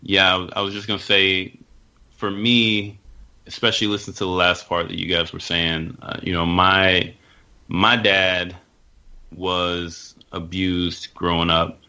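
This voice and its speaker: A man's voice: deep voice, little pitch movement, unhurried, sharp tone, dull audio. A man sharing personal thoughts in a casual podcast discussion.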